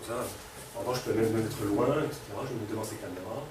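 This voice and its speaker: A man's voice: on the low side, racing, a crowd in the background. A man with a cold presenting a robot live to an audience, speaking casually with lots of fillers and questions to the room. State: has a cold. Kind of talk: live technical demo to an audience. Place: hall with an audience. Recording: echoey room, distant mic, background noise.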